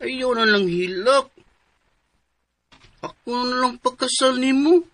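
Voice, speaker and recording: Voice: high-pitched, takes it slowly, a bit bright. An actor with a Filipino accent playing a simple, childlike, tender-hearted male suitor in a radio melodrama, pleading naively. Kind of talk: melodramatic radio drama acting.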